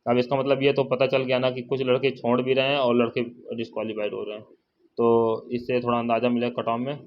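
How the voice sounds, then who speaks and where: A man's voice: mid-range voice, completely flat delivery, fast talker. A man giving a casual, informal update to viewers, repeating points and addressing them familiarly as brother. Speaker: exam-update YouTuber and channel host. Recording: dull lo-fi sound, faint noise, birds.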